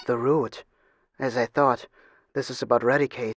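A man's voice: mid-range voice, fairly even intonation, normal speed, close to an everyday voice. A man voicing a serious, determined young hero trainer with a grave, resolute manner, in dramatic anime style.

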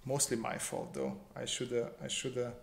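A man's voice: medium pitch, lively intonation, normal speed, vocal fry. A man, chatting casually and informally as he hosts a drawing livestream. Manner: informal, reads viewer questions aloud and answers. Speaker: livestream host, illustrator. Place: small indoor home room. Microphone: desk microphone on improvised stand. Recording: roomy echo, faint background noise.

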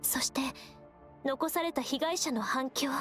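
A gentle, perceptive young scout from an anime game. Her voice is high and she speaks politely, in measured and earnest phrases. Soft music runs behind her. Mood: earnest, concerned. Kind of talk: Japanese anime game voice acting.

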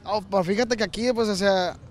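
A man's voice: sits high, steady tone, moderate pace, soft background music. A young man from Texas, stopped on a pier, talking in a hesitant, slangy way with many fillers. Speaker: passerby. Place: outdoors on a busy seaside pier.

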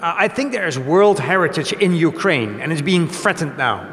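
A Dutch-accented man in his forties speaks into a handheld mic on a small gallery stage, with a rather high voice for a man. He works his way through ideas with hesitations and tag questions. The room is echoey, with a long reverb tail. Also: animated, moderate pace, a bit bright. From Netherlands. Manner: explains with many hesitations and tag questions, gestures a lot. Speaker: guest. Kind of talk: onstage talk show conversation.

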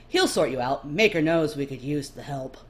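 A woman voicing a busy male recruiter at a fantasy fortress, brisk, loud and businesslike, in an audiobook. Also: deep voice, lively intonation. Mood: brisk, hurried.

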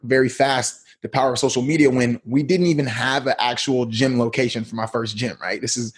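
A man's voice: mid-range voice, fairly even intonation, brisk, husky. A man in his thirties, a podcast guest, telling his story in a laid-back, slangy way. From United States. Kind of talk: casual interview talk.

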